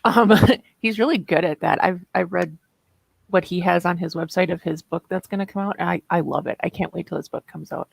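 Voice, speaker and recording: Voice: medium pitch, brisk, creaky, slightly rough, no room sound. A participant on a casual writing livestream, speaking enthusiastically in praise of a friend. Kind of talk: casual chat.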